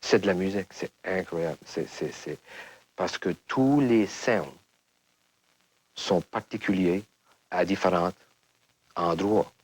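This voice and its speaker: Male musician, interview bite, very low husky voice. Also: slow, pauses often, poor audio. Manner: rambling, reflective. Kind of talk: interview clip.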